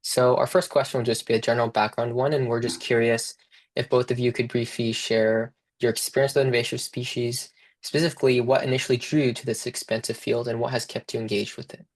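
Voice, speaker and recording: Young guy moderating a panel, quick and a bit gravelly, reads his intro off slides. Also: medium pitch, level tone, low-quality recording. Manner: friendly, reads prepared intro. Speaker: student moderator.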